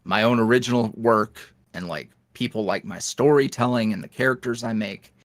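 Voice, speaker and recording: A man in mid-register chats candidly as a podcast guest, rambling with plenty of filler words. His delivery jumps from animated bursts to low, quiet asides. The sound is dry and close, with no room echo. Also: moderate pace. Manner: swings between animated highs and low mutters. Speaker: podcast guest, ASMR roleplay creator. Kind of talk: casual interview chat.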